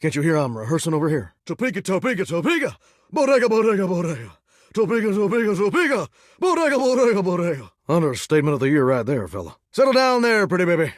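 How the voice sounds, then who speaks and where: An actor playing a vain, folksy singing shower in a video game, with a theatrical Southern drawl and country sayings. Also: male voice, on the high side, very animated, racing, hardly any pauses, no echo, studio quality. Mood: cocky, testy. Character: flamboyant, folksy singing shower, vain would-be showbiz star. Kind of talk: animated video game character acting with bursts of song.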